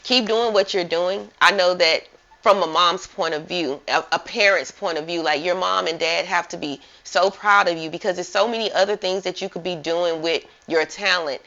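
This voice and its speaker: Lady host hyping up her guest, bright voice, lowish pitch, kinda muffled audio. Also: moderate pace. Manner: upbeat, encouraging, says like a lot. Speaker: interview host. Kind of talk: casual chat.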